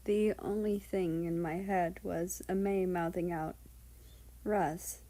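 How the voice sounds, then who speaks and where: A woman narrating fan fiction aloud in an expressive amateur audiobook style. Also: fairly low pitch, measured pace.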